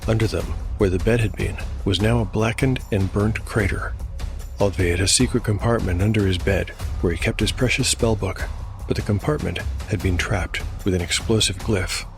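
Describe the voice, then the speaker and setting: A man narrating a scripted dark fantasy audio drama in a measured, dramatic storytelling manner. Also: lowish voice, fairly even intonation. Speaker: narrator and host. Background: music.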